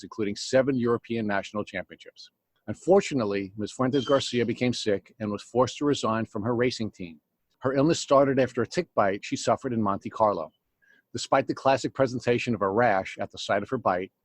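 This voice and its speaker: Podcast host guy, mid pitch, measured, reads the intro formal, dry sound. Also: dry recording. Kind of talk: scripted intro, then interview questions.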